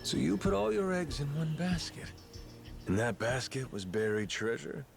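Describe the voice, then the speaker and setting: Cocky, swooping pirate captain. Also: male voice, mid-range voice, very animated, moderate pace, vocal fry. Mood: amused, hearty. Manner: cocky, folksy, slangy drawl. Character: brash, playful middle-aged male pirate captain, ex-yakuza. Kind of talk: video game cutscene acting. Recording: loud music behind the voice.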